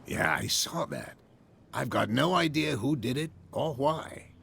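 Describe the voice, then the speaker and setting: An actor playing a proud, courteous harbor captain in a video game, with a raspy, gravelly voice and stately phrasing. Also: male voice, mid-range voice, lively intonation, measured pace, a bit bright, a little hiss or hum, exaggerated voice. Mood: assured. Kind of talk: video game cutscene dialogue.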